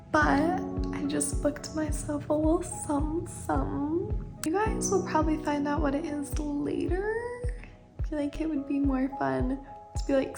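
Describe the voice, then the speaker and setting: Young woman vlogger, high gravelly voice, chatty and upbeat, loud music bed. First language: not French. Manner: chatty and upbeat, many "like" fillers. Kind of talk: casual vlog narration.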